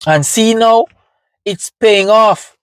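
A man's voice: sits high, slow, no room sound. A young Jamaican man reacts to music in a casual, slangy way. He is full of enthusiasm but takes his time over his words. The sound is very dry, with no room around the voice. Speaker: music reaction video host. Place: inside a parked car.

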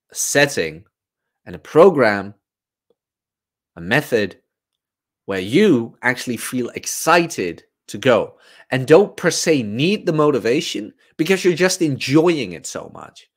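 A man's voice: mid-range voice, sing-song, takes it slowly. A man in his thirties giving a casual, informal livestream monologue as a fitness coach, rambling and emphatic. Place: small room at home, indoors.

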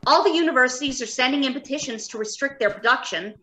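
Earnest, troubled doctor, played by a woman: high, sharp, deliberate radio-drama delivery. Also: slight background noise. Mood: resigned, grave. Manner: emphatic, deliberate, with dramatic hesitations. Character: earnest, uneasy robot scientist, a doctor. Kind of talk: old-time radio drama acting. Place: home, remote video call.

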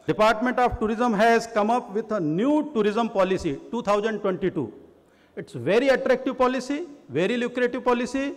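A middle-aged man with an Indian accent, a government official giving a conference speech at a podium, switching into quick bursts of Hindi. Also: very high-pitched. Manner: bursts of rapid Hindi between slower English. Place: large conference hall, at a podium. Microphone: podium microphone. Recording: reverberant conference hall.

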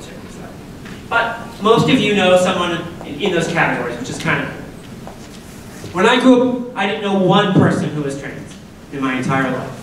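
A woman in her forties with a deep voice, an upbeat and chatty speaker who keeps asking the students questions. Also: slight background noise. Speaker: guest speaker at a school assembly. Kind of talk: lively talk to students. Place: large school auditorium. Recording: echoey auditorium from far off.

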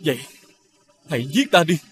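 A man playing a noble, honourable young prince in an animated fairy tale, speaking earnestly and formally. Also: fairly high pitch, moderate pace. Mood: resolute, sorrowful.

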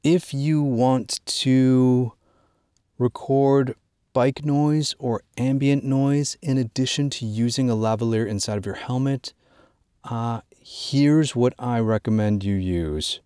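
A man presenting an informal instructional product walkthrough, drawing out key words in a measured way for emphasis. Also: medium pitch, mellow, clean tone, dry recording, clean studio sound. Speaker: gear tutorial presenter.

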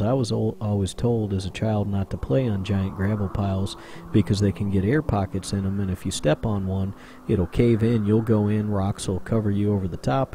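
Male vlogger, low mellow voice, rambling voice-over, faint hiss behind. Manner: rambling, conversational, with many fillers. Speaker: urban explorer vlogger. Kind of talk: casual voice-over narration.

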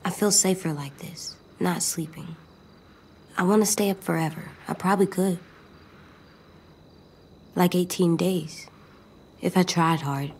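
AJ, a solemn, creaky-voiced little boy. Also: on the low side, a little slow, pauses often, a little hiss or hum. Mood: subdued, earnest. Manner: quiet, earnest, guarded. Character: solemn, stubborn, anxious little boy survivor. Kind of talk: video game dramatic acting.

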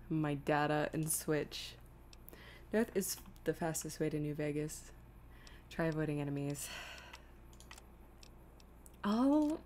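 A woman's voice: deep voice, a little mellow, a little hiss or hum. A woman streaming a video game with casual commentary, thinking aloud in a self-deprecating, exasperated way.

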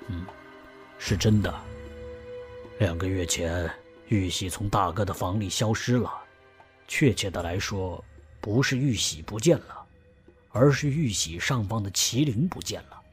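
A man voicing a worried middle-aged uncle in an audio drama who speaks in a grave, measured way. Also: fairly low pitch, close to an everyday voice. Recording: music bed behind.

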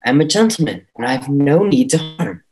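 A man's voice: fairly high pitch, big pitch swings, brisk, a little mellow. A young man playing an awkward, insistent teenage schoolboy at a script read over a video call. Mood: compulsive. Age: young adult. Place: room at home.

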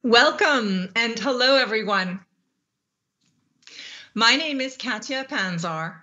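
A middle-aged woman from Canada moderating a panel, speaking in a clear, measured way over a video link with an earphone mic. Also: fairly high pitch, expressive, sharp tone, highs cut off. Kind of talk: scripted panel introduction. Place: plain room, remote video link. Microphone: earphone headset mic.

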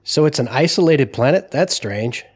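A man playing a curious, excitable space explorer in an audio drama. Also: mid-range voice, normal speed, husky. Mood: curious, surprised.

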